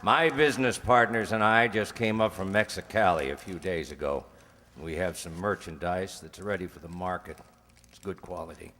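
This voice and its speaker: A man acting in an old-time radio crime drama as a polite, persistent drug dealer pitching like a salesman. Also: moderate pace, pushed and bright. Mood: smooth, businesslike. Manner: polite, insistent salesman's patter. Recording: long reverb, hall-like room.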